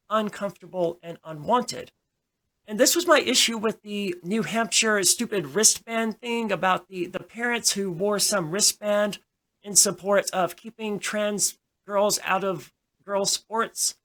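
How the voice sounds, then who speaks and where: A woman's voice: mid-range voice, a bit bright, poor audio. Emphatic woman in casual discussion. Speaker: podcast host.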